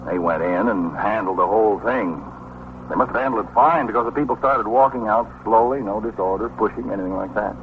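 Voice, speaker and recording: An actor playing a fussy, anxious, easily aggrieved gallery owner in an old police radio drama. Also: male voice, lowish voice, a little fast, natural voice. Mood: earnest. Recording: muffled, noisy old radio recording.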